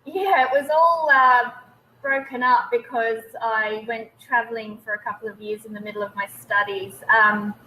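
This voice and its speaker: An Australian woman in her late thirties speaks with a bright, tense voice, a little higher than most. She talks casually and hesitantly over a video call, and a faint noise sits under her voice. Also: low-quality recording. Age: 30s. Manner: hesitant, many ums. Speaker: guest naturopath. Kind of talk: casual webinar interview.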